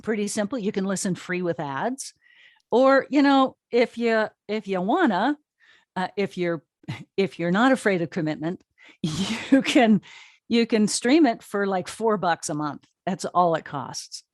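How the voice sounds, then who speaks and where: A woman's voice: mid-range voice, expressive, a little slow, no room sound, clean studio sound. A woman giving conversational interview answers in an enthusiastic, emphatic and informal way. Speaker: interview guest, audio drama streaming co-founder.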